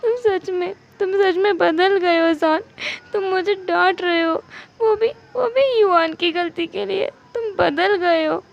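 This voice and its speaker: A woman's voice: very high-pitched, expressive, moderate pace, highs cut off. A woman voicing a pouty, dramatic pregnant husband in a told story, whining and repeating accusations. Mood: hurt, sulky. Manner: whiny, repetitive accusing. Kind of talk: amateur storytelling.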